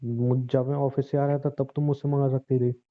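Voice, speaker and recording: A man's voice: medium pitch, flat delivery, brisk, lightly acted. A man playing a defensive husband in an audio drama, swinging between grudging apology and accusation in conversational speech. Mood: placating. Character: defensive, short-tempered husband, grudgingly apologetic, nagging his wife. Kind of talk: Hindi audio drama dialogue, conversational acting. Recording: muffled, phone-like narrow sound.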